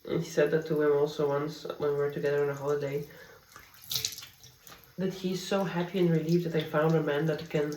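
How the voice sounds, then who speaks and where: A woman's voice: low-pitched, little pitch movement, fairly quick, roomy sound, recorded from a distance, slight background noise, low-quality recording. Polish-native woman vlogging at home at night, candid, talking a bit away from the mic. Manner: soft-spoken, candid, confiding. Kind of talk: personal diary vlog.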